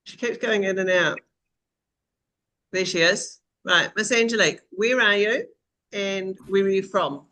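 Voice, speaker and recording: A woman's voice: mid-range voice, unhurried, long pauses, sharp tone. A woman with a mid-range voice hosts an online group chat. She speaks informally and teases the people she welcomes, keeping it casual. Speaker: host. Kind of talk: casual chat hosting a group video call. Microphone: video call.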